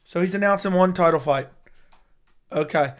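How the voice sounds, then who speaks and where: Hoarse, excitable man on a livestream. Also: sits high, moderate pace. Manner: excitable, profane, reacting to news live. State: losing his voice. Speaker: MMA YouTube host. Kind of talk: casual livestream chat.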